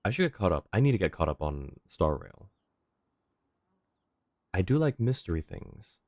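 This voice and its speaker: A man with a low voice, streaming a game and chatting with viewers. He is easygoing and polite, thinks aloud, and is recorded close and dry. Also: very animated, moderate pace, dry recording. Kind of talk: casual livestream chat.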